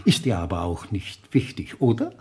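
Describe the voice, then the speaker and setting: A man's voice: low-pitched, wildly swooping pitch, normal speed, warm tone, husky, full character voice. An actor playing a doddering, eager old village handyman, stammering and repeating himself in an old German radio crime drama. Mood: assured. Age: older.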